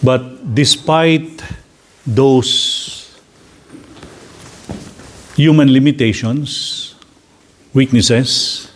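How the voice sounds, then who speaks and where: Slow-speaking Filipino priest. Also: male voice, mid-range voice, a little hiss or hum. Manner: slow, deliberate, gently inviting. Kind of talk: guided reflection talk.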